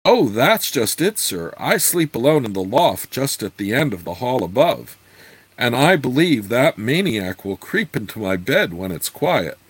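A man's voice: medium pitch, sing-song, a little slow, slightly rough, poor audio. Man putting on a meek young country girl. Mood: conspiratorial. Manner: deferential, confiding.

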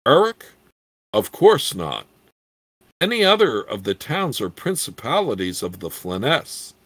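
A man voicing a small old gnome proprietor from a fantasy storybook, squeaky, eager and easily indignant, with fussy, formal sales patter. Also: huge pitch swings, unhurried, fairly bright tone, no echo, full character voice. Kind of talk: fantasy storybook character reading.

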